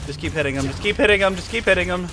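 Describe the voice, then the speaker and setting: A man doing casual live gameplay commentary, playful and excitable, often talking to himself. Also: high voice, very fast. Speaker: game streamer.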